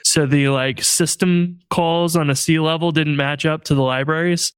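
A man hosts a casual podcast in a loose, rambling way, laughing easily. His voice sits a little high and sounds smooth and clear. The sound is dry, with no room around it. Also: moderate pace, dry recording. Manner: relaxed, laughs often, rambling with many "like"s. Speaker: podcast host.

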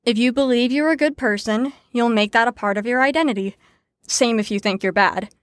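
An actress playing a brisk, sarcastic teacher in an audio drama, lecturing in an articulate, wry way. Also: female voice, a little high, no room sound, studio quality, close to an everyday voice. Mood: wry, impatient. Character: brisk, sarcastic female teacher explaining psychology theories. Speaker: lecturer leading a group lesson.

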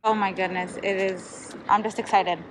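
A woman's voice: medium pitch, fairly even intonation, normal speed. A woman, a parent, answering casually in an interview. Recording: noisy on-site interview with ambient sound.

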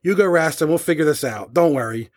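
A man playing an agitated rock bandmate in an audio drama. His slightly high voice swings widely in pitch, urgent and slangy, like someone trying to keep a band from splitting. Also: lively intonation, fairly quick, close to an everyday voice. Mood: desperate, determined. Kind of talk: audio horror drama acting.

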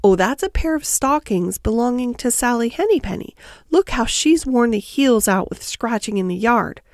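A woman's voice: on the high side, animated, normal speed, no room sound, studio quality. A woman voicing an eager, polite, stout little hedgehog washerwoman from a children's storybook, chatty, fussy and deferential.

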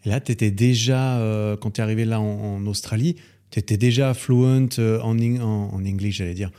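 A man's voice: lowish voice, steady tone, moderate pace, dark tone, clear, resonant tone. A man hosting a casual podcast chat, asking questions with hesitant restarts. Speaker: podcast host.

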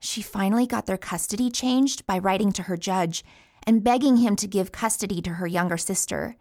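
A woman's voice: medium pitch, moderate pace, darkish tone, no room sound, natural voice. Actress as blunt female inmate, close dry sound. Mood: sad. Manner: blunt, profane. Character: indignant, grieving female inmate mourning her friend. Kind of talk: storytelling audio play monologue.

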